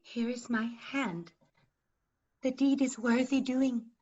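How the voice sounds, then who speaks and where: A woman's voice: a little high, fairly even intonation, takes it slowly, dark tone. A woman playing a proud, passionate medieval queen in classical verse, recorded over a video call. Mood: scheming. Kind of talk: classical verse stage acting. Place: remote staged reading over video call. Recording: dull online video-call audio.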